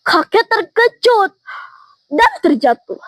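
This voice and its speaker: A woman's voice: extremely high pitch, big pitch swings, takes it slowly, a bit bright, no echo. A woman telling a folk tale expressively, with dramatic sound words. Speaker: storyteller. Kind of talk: folk tale storytelling for a contest.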